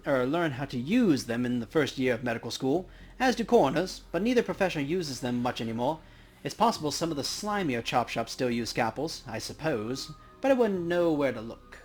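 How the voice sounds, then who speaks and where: A world-weary coroner from a cyberpunk game, read out by a man. The voice is slightly high with varied intonation, and the delivery is dry and factual over faint music.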